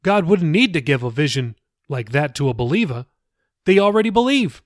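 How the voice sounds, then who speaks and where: A man's voice: a little high, big pitch swings, normal speed, no echo, clean studio sound. A man reading an audiobook, voicing an earnest, persuasive pastor who talks casually and presses with questions. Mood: earnest, explaining. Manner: colloquial, insistent, questioning. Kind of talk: audiobook character dialogue.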